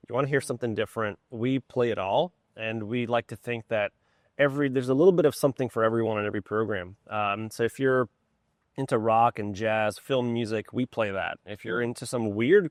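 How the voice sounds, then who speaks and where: A man's voice: medium pitch, dry recording, clean studio sound. A man from Poland speaking as an interview guest in a casual, enthusiastic and informal way about his work as a music director.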